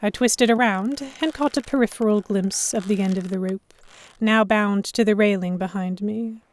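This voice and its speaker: A woman playing a stubborn, flustered young noblewoman, narrating her own story in a measured, expressive audio drama style. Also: mid-range voice, lightly acted. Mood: tense, wistful narration.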